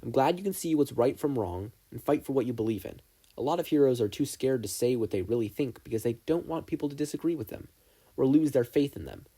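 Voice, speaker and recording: A man voicing a stern but proud teacher in a fan fiction story, lecturing in a measured, stoic way. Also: mid-range voice, animated, warm tone, slightly rough. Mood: quietly proud.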